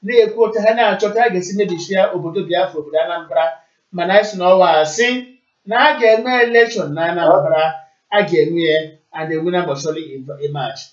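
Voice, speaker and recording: Echoey, high-voiced Nigerian Igbo radio host, male. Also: fast talker. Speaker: radio call-in show host. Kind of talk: live broadcast announcing and answering callers. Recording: echoey, distant, muffled internet radio stream.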